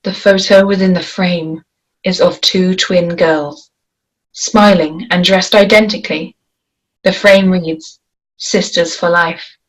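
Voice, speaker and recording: Woman, table read narrator, slow, lowish voice, measured. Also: fairly even intonation, poor audio. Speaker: narrator reading stage directions.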